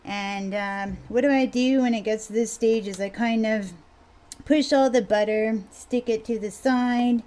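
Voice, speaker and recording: A woman with a quiet voice, casually explaining a recipe in a home kitchen video, rambling a bit with fillers. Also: fairly high pitch, a little slow. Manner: relaxed, rambling, with fillers and mild swearing. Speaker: home cook vlogger.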